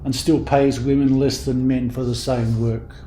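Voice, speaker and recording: A man's voice: medium pitch, level tone, normal speed, mellow, roomy sound, slight background noise, rough recording. A man from Australia with an Australian accent, reciting a spoken-word speech in rhythmic, repeated refrain lines that build in emphasis.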